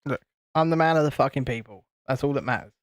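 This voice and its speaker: A man with a naturally high-pitched voice, hosting a casual livestream and cheekily bantering while reading out chat comments. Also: fast talker, clear, resonant tone, no room sound, studio quality. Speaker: host of a building livestream.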